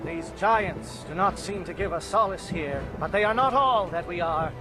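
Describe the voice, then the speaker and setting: High-voiced solemn alien commando captain. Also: male voice, expressive, music in the background. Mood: solemn, proud. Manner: formal, measured, oratorical. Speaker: military captain. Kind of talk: video game cutscene acting.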